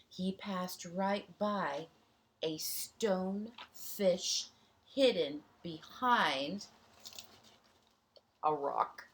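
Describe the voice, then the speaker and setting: A woman reads a children's storybook aloud in a teacherly, expressive way. Her voice sits a little low and swings widely in pitch, and she takes her time, pausing to explain things to young listeners.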